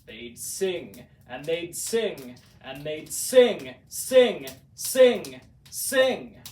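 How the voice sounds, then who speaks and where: A man reading a storybook aloud as a theatrical narrator, deliberate and dramatic, in the manner of classic horror actors. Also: on the high side, animated, a little mellow. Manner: deliberate, dramatic, builds repetitions for emphasis. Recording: roomy, distant mic, faint noise.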